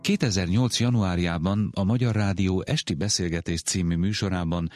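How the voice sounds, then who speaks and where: Male presenter for scripted links, deep voice, music behind. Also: fairly even intonation, a little mellow. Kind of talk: scripted narration.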